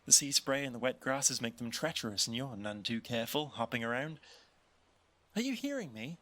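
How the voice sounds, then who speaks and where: A man's voice: medium pitch, lively intonation, pushed and bright. A man voicing a caring mother who fondly scolds and warns, in an audio roleplay. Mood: fond scolding. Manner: quick scolding, affectionate warnings. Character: fussing caring mother, teasing.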